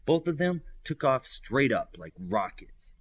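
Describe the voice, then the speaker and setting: Man narrating fiction with lively pitch swings. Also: a little slow, slightly rough. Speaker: audiobook narrator.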